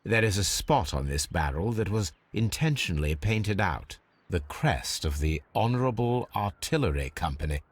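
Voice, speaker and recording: An actor playing a brilliant, unflappable Victorian detective in a game, with an English accent and precise, formal, measured delivery. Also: male voice, deep voice, expressive, breathy edge. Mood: musing, analytical. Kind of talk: scripted game dialogue and deductive narration.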